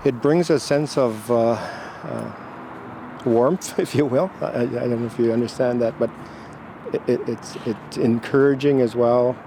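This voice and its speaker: A man's voice: medium pitch, a little slow, vocal fry, background noise. A man interviewed outdoors at an event, hesitant and searching for words. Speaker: walk participant. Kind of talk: interview answers.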